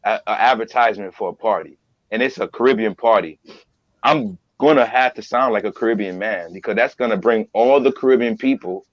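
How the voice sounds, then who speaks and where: A man's voice: fairly low pitch, a little fast, dull audio. A man guesting on a podcast as a voiceover artist, talking earnestly and repeating phrases for emphasis. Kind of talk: casual interview talk.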